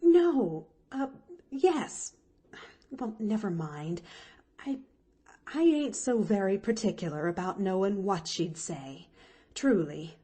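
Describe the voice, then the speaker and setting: A woman's voice: a little high, animated, measured pace, mellow, husky, voice pushed up. A woman voicing a kindly, dogged country housemaid in a storybook, folksy and ungrammatical, full of flustered exclamations.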